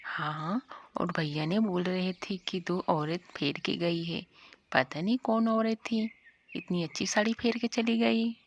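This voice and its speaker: A woman playing a crafty, smug village sister in an animated moral story, with a sly, insistent manner. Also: lowish voice, a little slow, highs cut off.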